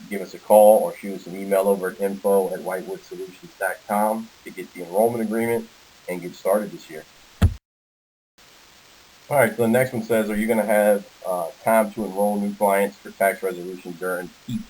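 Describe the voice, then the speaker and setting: A man's voice: low voice, fairly even intonation, brisk, a little mellow, poor audio. An American man giving an informal sales presentation on a conference call, walking through slides as the presenter. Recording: hissy conference call audio.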